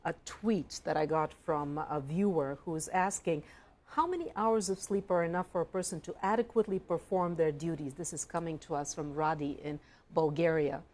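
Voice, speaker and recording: Low-pitched female news presenter. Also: steady tone, normal speed, darkish tone. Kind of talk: broadcast news reading and interview.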